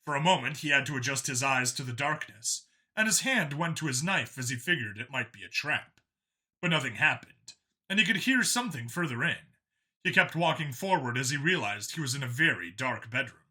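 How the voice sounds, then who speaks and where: A man's voice: mid-range voice, animated, a little fast, sharp tone. A man narrating a science fiction action story from a script.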